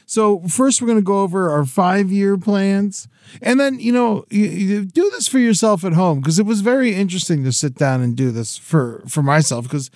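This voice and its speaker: A man's voice: high voice, lively intonation, moderate pace, a little mellow, no room sound, studio quality. A man in his forties co-hosting a podcast, chatting casually in a laid-back, rambling way and laughing often. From Florida. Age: 40s. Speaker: podcast co-host. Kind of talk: casual chat.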